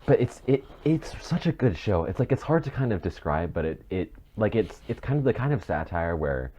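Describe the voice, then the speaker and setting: A man's voice: lowish voice, big pitch swings, brisk, warm tone. A man hosting a podcast in casual chat about film and TV, rambling and often restarting his phrases. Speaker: podcast host. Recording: dull muffled mic with faint noise.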